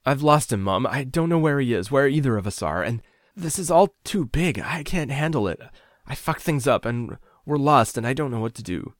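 A man's voice: mid-range voice, expressive, normal speed, no room sound, studio quality, pitched above the performer's own voice, natural voice. Man acting a frightened, faltering lost son. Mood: scared, desperate. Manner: hesitant, pleading, emotional. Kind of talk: audiobook dialogue.

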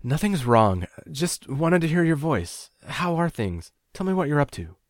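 Audiobook role: anxious, lost son acted by a male reader, pitch lifted, pleading and faltering, dry sound. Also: sing-song, a little slow, no echo. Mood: forced casual. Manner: hesitant, pleading, emotional. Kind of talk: audiobook dialogue.

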